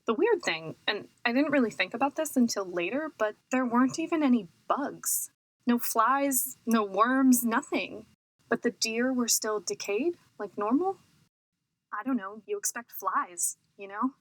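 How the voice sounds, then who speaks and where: A woman's voice: a little high, lively intonation, unhurried, no echo, poor audio. An actress playing an uneasy woman who recounts an eerie discovery in an audio drama, hesitant, wondering and conversational. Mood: puzzled, uneasy.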